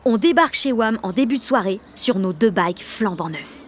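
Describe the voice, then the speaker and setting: A woman's voice: medium pitch, animated, normal speed, a little hiss or hum, natural voice. An actress playing a blunt, fiery sixteen-year-old French girl who narrates an audio drama in sardonic slang. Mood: cheeky, upbeat. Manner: colloquial, heavily slangy, sardonic.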